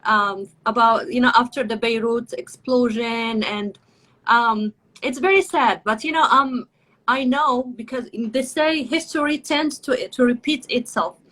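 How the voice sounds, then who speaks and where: A woman hosts a casual live video chat. Her voice sits high and has a bright, forward edge. She talks in an effusive, gushing way. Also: measured pace, rough recording. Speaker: talk host.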